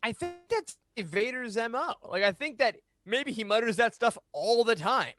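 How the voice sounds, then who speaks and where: A man's voice: very high voice, expressive, moderate pace. A man in his thirties chatting casually on a panel in a playful, rambling way. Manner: playful, rambling, acts out little quips. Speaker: podcast panelist. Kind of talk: casual roundtable chat. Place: home room on a group video call. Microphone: close podcast mic.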